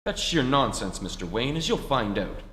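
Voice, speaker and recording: A pushy, mysterious male schemer acted by a man in old radio-serial style. His medium-pitched voice moves widely as he insists and dismisses. The hall throws back a strong echo. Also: big pitch swings, normal speed, fairly bright tone. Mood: insistent. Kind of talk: old-time radio serial acting on stage. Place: theatre stage in a live performance. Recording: very echoey hall.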